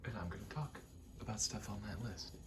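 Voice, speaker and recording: A man softly rambling in a casual ASMR style, breathy and often whispering, sweary and self-deprecating. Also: on the low side, brisk. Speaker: video creator rambling to camera. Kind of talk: soft-spoken ASMR ramble. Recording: clear background noise, rattling vent. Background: rattling vent noise.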